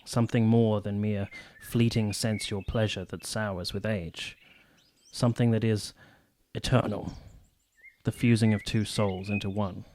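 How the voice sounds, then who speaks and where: An actor playing an earnest, idealistic and courteous army captain in a period radio drama, measured and formal. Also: male voice, lowish voice, flat delivery, a little mellow, a little hiss or hum, natural voice. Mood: earnest, hopeful.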